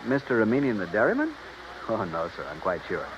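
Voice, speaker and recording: A man's voice: lowish voice, wildly swooping pitch, fairly quick. A male actor playing a polite, slightly fussy and hesitant hotel desk clerk in an old radio drama. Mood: courteous. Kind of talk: 1950s-60s radio drama acting. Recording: muffled, noisy old-time radio recording.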